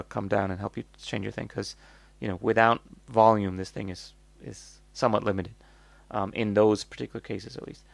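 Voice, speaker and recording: A low-voiced man with a North American accent and a good deal of vocal fry talks through a product review off the cuff. He rambles and thinks aloud, bluntly, in a very dry-sounding recording. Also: no echo. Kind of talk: casual off-the-cuff review commentary.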